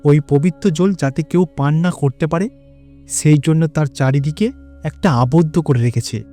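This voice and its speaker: A man playing a stately, fatherly god in a cartoon, speaking in a measured, solemn, instructive manner. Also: fairly high pitch, dark tone, faint music behind, natural voice.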